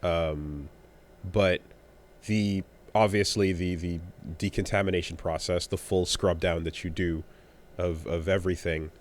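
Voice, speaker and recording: A man co-hosting a podcast in casual chat, rambling and halting with many restarts, a bit winded after being sick. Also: low-pitched, steady tone, slight background noise. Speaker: podcast co-host.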